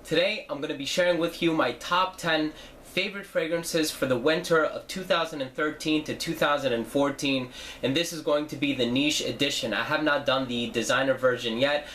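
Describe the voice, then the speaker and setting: A man's voice: a little high, normal speed, fairly bright tone, slight background noise. Stuffy-nosed man reviewing fragrances. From New Jersey. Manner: chatty, with frequent fillers and self-corrections. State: stuffy nose from a cold. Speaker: fragrance reviewer, channel host. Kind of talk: casual video review.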